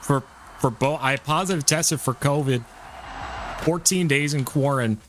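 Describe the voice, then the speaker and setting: A man's voice: medium pitch, moderate pace. A man streaming a racing game, chatting casually and rambling, often stumbling over his words. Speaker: live streamer. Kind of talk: casual gameplay commentary. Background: music.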